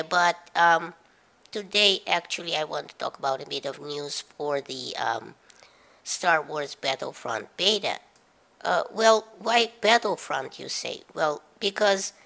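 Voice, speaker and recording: A gaming YouTuber woman with a very low voice and a sharp, forceful edge to it. She sounds stuffed up from a cold. She talks through the news in a halting way, full of fillers. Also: vocal fry. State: nasal from a head cold.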